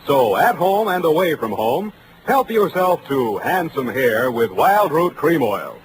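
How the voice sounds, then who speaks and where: A man's voice: fairly high pitch, big pitch swings, a little slow, noisy background. An American man announcing on 1940s radio with a polished sales delivery. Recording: vintage radio broadcast.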